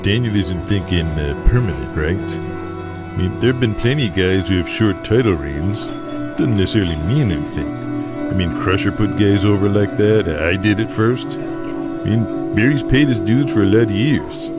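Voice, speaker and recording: Male actor as a loyal, chatty old-hand wrestler, fairly low voice, music bed. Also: moderate pace, lightly acted. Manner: animated, calls people brother, blurts questions. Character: chummy male veteran wrestler, excitable and loyal. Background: music underneath.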